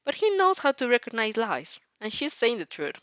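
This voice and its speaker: A woman's voice: fairly high pitch, big pitch swings, moderate pace, a bit bright, no echo. A woman reading fan fiction aloud expressively, giggly and reacting as she goes, sometimes stumbling over words.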